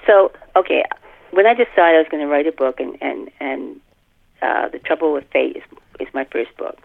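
A woman speaks in a low voice with plenty of fry. She chats in an exclamatory, colloquial way, repeating phrases for emphasis, over a thin telephone-like connection. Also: moderate pace. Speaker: guest author. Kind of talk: casual interview chat. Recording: muffled phone or radio-like line.

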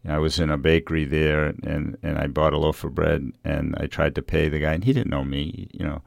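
The American author in a radio studio, middle-aged, reflective and hesitant, rumbling very low and close in a dry room. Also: male voice, level tone, a little fast, creaky, no echo. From Revere, Massachusetts, USA. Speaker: novelist being interviewed. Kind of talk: conversational interview.

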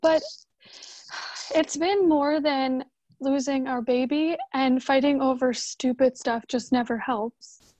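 Actress as young wife, high voice, tender, emotional, radio drama, dry slightly muffled recording. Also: female voice, fairly even intonation, slow pace, a little mellow, no echo. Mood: upset, sincere.

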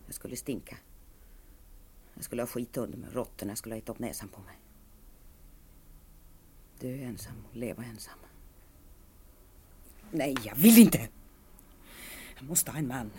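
An actress playing a bitter, lonely woman of forty-three in an intense radio monologue, swinging between hushed dread and outbursts. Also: a bass voice, animated, long pauses. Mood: fearful, bleak, then desperate, demanding. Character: bitter, lonely 43-year-old woman, self-pitying and raging. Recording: archive radio recording with background noise.

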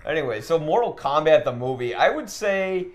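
A man's voice: on the high side, sing-song, normal speed. A man hosting a casual podcast, giving blunt opinions in loose conversational speech peppered with filler "like". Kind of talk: casual film criticism while gaming.

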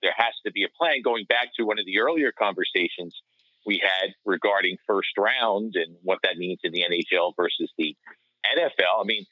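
A man co-hosting a sports podcast, discussing things casually in a measured, conversational manner. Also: low-pitched, dry recording. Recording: slightly dull lo-fi audio.

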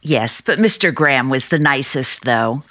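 A doting, chatty, animated sister. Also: female voice, rumbling low, normal speed. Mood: eager. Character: doting, chatty, trusting older sister and small-town mother. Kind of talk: old-time radio drama acting.

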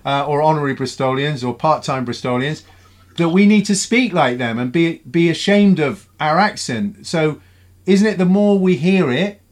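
A man hosting a radio panel, his voice sitting a touch higher than most men's. He talks briskly and keeps firing questions at his guests. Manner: brisk, questioning, steers the talk. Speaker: radio presenter.

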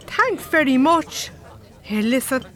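A cheeky Irish barmaid, bright-voiced. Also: female voice, high-pitched, very animated, slow, some chatter behind, exaggerated voice. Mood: cheeky, friendly. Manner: playful, teasing. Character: cheeky, flirtatious Irish barmaid, teasing and chatty. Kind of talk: radio drama dialogue.

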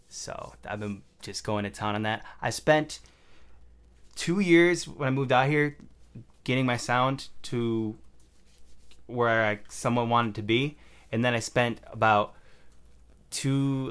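A man's voice: on the low side, fairly bright tone, smooth. A young man, a rapper guest, talking in a loose, rambling way full of fillers. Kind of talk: casual conversation.

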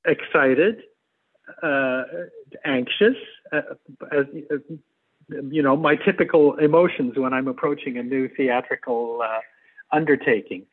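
Husky man, measured and hesitant. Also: mid-range voice, narrow, tinny audio. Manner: hesitant, measured, repeats words while choosing them. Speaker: theatre adapter and actor, guest. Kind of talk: interview conversation.

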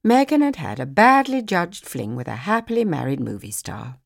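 Low-pitched woman narrating a novel. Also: big pitch swings, normal speed, vocal fry. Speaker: audiobook narrator.

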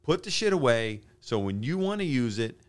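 A man's voice: mid-range voice, very animated, normal speed. A man in his fifties who owns a gym, ranting bluntly and with plenty of swearing in casual podcast chat. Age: 50s. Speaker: gym owner and podcast regular.

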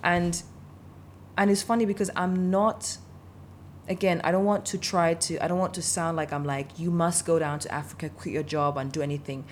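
Brief: low-pitched woman raised in Denmark, emphatic and heartfelt, faint background hiss. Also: fairly even intonation, normal speed. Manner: passionate, emphatic, repeats herself. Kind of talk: casual conversation.

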